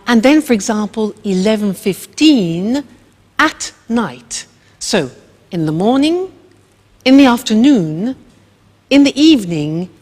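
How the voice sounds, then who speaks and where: A woman teaches to camera in a long, ringing reverb. Her delivery is slow and deliberate, with a strongly varied melody as she stresses and repeats key phrases. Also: medium pitch. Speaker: English teacher and presenter. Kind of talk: slow instructional lesson to camera.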